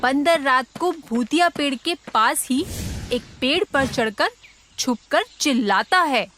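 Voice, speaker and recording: A woman's voice: high-pitched, expressive, measured pace, noisy background. A woman giving scripted narration of a children's story.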